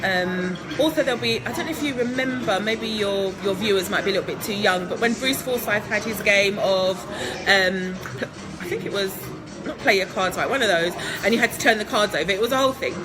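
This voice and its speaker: A woman's voice: medium pitch, brisk, music in the background, low-quality recording. A woman from England, answering interview questions in a chatty, upbeat way, laughing often and filling with ums. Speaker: guest entrepreneur.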